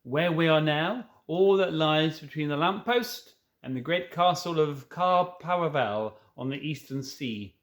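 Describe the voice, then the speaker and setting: Man acting out a storybook faun, male, hospitable, melancholy, formally polite, raised pitch. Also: expressive, unhurried, few pauses. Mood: proud, explanatory. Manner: courteous, old-fashioned formal phrasing. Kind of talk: children's storybook read aloud.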